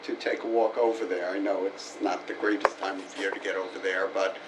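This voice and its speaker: A committee member at a public meeting giving measured, deliberate opinions. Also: male voice, deep voice, level tone. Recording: distant mic with background noise.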